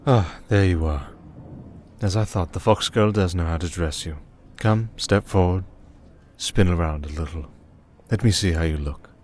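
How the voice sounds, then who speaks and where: A man playing a cold vampire archduke and crime lord in an ASMR roleplay, speaking in a measured, formal, condescending way. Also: low voice, a little mellow, slight background noise, natural voice. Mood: appraising. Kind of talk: ASMR roleplay audio drama.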